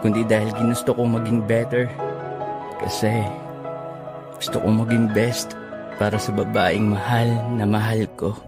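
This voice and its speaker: A man acting an earnest, devoted young suitor in a romantic audio drama, speaking sincerely and in measured phrases. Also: fairly low pitch, little pitch movement, over loud music, close to an everyday voice. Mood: tender, earnest.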